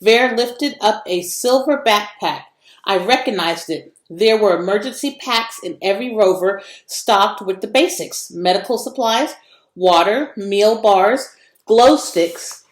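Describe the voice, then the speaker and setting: A woman's voice: mid-range voice, unhurried. Echoey woman reading a children's novel aloud. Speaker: read-aloud narrator. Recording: echoey room.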